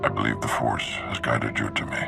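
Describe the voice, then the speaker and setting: An actor playing a grave Jedi master who speaks in a solemn, measured way. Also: male voice, little pitch movement, heavily acted. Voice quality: deep gravelly voice. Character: grave older male Jedi master, commanding. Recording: game audio with music under it.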